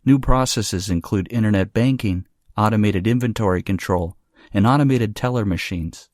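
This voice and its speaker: A man reading short exam passages aloud from a script as a narrator, measured and formal. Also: fairly low pitch, a little mellow, no echo, studio quality.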